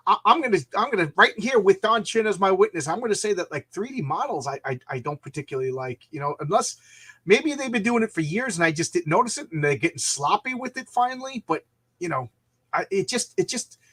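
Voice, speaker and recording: A man in his fifties with a slightly high voice hosts a casual live chat. He rambles from thought to thought and tells anecdotes with a joking, self-deprecating streak. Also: expressive, brisk, pushed and bright, husky. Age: 50s. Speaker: live stream host chatting about comics.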